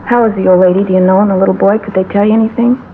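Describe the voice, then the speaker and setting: An actress playing a talkative, shaken theater cashier who witnessed an accident, in 1950s radio drama style. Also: female voice, medium pitch, steady tone, racing, lightly acted. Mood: shaken, earnest. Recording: tinny, hissy vintage radio recording.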